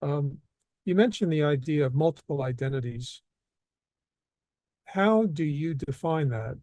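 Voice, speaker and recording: Male interviewer reading out questions, mellow kinda high voice, dry sound. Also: lively intonation, measured pace, no room sound.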